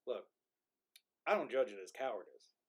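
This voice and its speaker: A man's voice: medium pitch, very animated, normal speed, slightly rough. A man playing a dead soldier's ghost in a play reading, wry and resentful, with a conversational, needling delivery. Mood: ominous. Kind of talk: play reading, modern drama.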